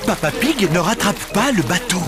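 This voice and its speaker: A man's voice: high-pitched, big pitch swings, moderate pace. A man working as storytelling narrator of a children's cartoon, in a scripted, explanatory style. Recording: music bed behind the voice.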